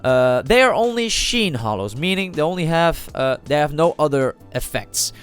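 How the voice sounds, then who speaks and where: A man's voice: on the high side, animated, normal speed, smooth, soft background music. A man with a cold and a slightly nasal voice, talking casually over a card video and thinking aloud with many fillers and restarts. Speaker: trading card channel host.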